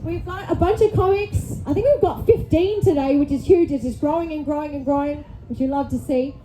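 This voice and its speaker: A woman's voice: sits high, a little fast, mellow, a little hiss or hum, rough recording. A woman hosting a live comedy show from a club stage on a handheld mic, chatty and upbeat, often tagging lines with "okay?". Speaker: host. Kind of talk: upbeat live emcee patter.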